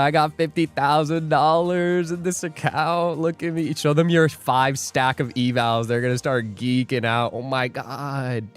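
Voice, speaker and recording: A young man hosts a live trading stream, joking, rambling and laughing as he reads out his chat. His voice sits a little high for a man. Faint music plays under the talk. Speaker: livestream day trader host. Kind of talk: casual livestream chat.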